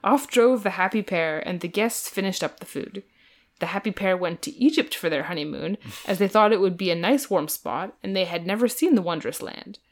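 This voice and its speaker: A woman's voice: mid-range voice, animated, moderate pace. A woman co-hosting a podcast, moving between dramatic book reading and informal chat. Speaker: podcast co-host.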